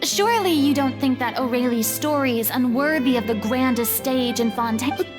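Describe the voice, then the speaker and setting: A woman's voice: high voice, moderate pace, lightly acted. A woman voicing a grand, theatrical young patron of the stage in a game, with flamboyant, dramatic declarations. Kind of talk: game cutscene voice acting. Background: game music.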